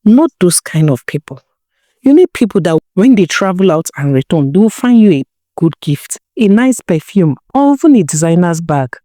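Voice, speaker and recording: A woman narrator plays a hard-nosed young Nigerian woman in a folktale. She lectures bluntly with scornful questions, in a low voice with a dry sound. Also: very animated, normal speed, warm tone, no room sound. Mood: coaxing, blunt. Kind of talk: storybook character dialogue.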